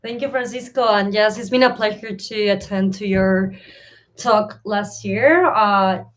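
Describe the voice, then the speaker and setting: A woman's voice: medium pitch, unhurried. A woman from Argentina with an Argentine Spanish accent, chatting in a friendly, rambling way as a podcast guest. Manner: friendly, rambling, filler-heavy. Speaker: interview guest, service designer. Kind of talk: casual interview chat.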